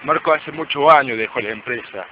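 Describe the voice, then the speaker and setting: A man's voice: mid-range voice, a little fast, lightly acted. An amateur man actor playing a sincere company worker revealing a crime in a radio play. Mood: earnest. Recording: muffled, noisy amateur recording.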